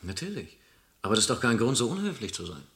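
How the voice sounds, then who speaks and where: A man's voice: mid-range voice, big pitch swings, quick pace, creaky, fairly bright tone, husky, heavily acted. A man acting in a vintage crime radio play as a dry, persistent investigator, terse and understated in his questioning. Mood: understated, puzzled. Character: dry, persistent male investigator probing a forgery. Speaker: radio drama actor.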